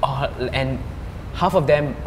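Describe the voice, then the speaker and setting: A young man hosting a casual group chat and putting questions to the others. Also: takes it slowly. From Singapore and Cambodia. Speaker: host reading out questions. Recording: echoey hall with rumbling background noise.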